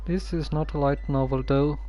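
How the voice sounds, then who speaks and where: A streamer casually commenting on a story game with a hesitant, musing, deadpan way of talking. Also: mid-range voice, moderate pace.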